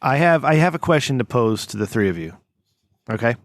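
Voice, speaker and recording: A man's voice: mid-range voice, expressive, fairly quick, dry recording. Man hosting a chat, close dry sound. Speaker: podcast host. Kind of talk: casual chat.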